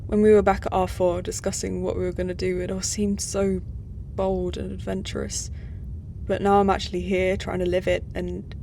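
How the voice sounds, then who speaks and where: Woman as troubled human spacefarer, female, hesitant, flat intonation, medium pitch, audio drama, faint noise. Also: lightly acted. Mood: doubtful. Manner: hesitant, subdued. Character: lost, troubled human navy woman out of time. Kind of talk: naturalistic science-fiction audio drama acting.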